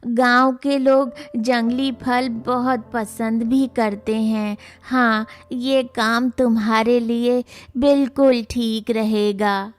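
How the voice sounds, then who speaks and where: A woman voicing an elderly, gentle and caring mother-in-law who speaks slowly and softly. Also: a little high, steady tone. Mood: comforting. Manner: slow, soft, comforting. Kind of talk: cartoon voice acting.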